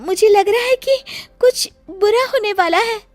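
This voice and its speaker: A woman voicing a gentle young daughter-in-law in a story, earnest and pleading. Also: very high-pitched, normal speed, faint music behind, pitched above the performer's own voice. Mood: anxious, concerned. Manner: pleading, earnest, soft-hearted. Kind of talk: acted story dialogue.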